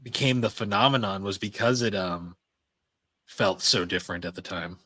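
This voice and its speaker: A man's voice: on the low side, normal speed, bright, forceful tone, no room sound. A man co-hosting a podcast, chatting casually and informally in loose, rambling remarks. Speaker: podcast co-host. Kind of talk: casual chat about anime and games.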